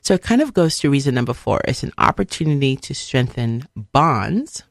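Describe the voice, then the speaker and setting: A woman's voice: rumbling low, very animated, moderate pace, gravelly, no echo, clean studio sound. A middle-aged woman from Liberia giving a motivational radio talk, stressing points emphatically with repeated phrases. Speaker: radio show host, speaker.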